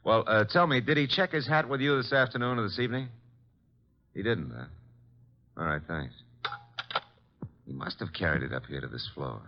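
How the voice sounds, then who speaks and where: Low American detective sidekick, brisk, hard-boiled, questioning, old radio drama, muffled lo-fi recording. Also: male voice, expressive. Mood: businesslike. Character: wisecracking, brisk male private detective's assistant.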